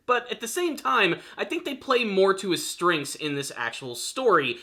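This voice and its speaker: A man's voice: high voice, pushed and bright. A young man giving an upbeat, emphatic review as an enthusiastic fan, speaking directly to viewers. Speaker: video reviewer and channel host.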